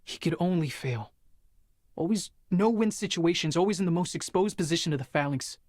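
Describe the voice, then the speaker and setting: Young Spartan soldier: husky, earnest, driven, weighed down by guilt. Dry, close game dialogue. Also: male voice, a little high, lively intonation, fairly quick, a little mellow, no room sound. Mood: somber, confessing. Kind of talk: dramatic video game acting.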